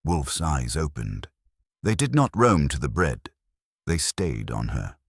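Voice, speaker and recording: Very deep, husky male detective-story narrator. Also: very animated, slow pace, no echo. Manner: wry, dry wit. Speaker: audiobook narrator. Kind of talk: scripted first-person detective narration.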